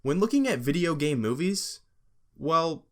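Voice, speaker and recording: A young man presents a casual, scripted video essay as its host. His voice sits a little high and moves up and down in an animated way. He is sarcastic and joking, dropping profane asides as he goes. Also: measured pace.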